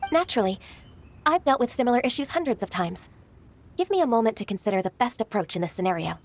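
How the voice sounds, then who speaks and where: Composed, polite young secretary, slightly high voice. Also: female voice, very animated, slight background noise. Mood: calm, helpful. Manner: polite, measured, thoughtful. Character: gentle, composed, shrewd young female secretary. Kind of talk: video game voice acting.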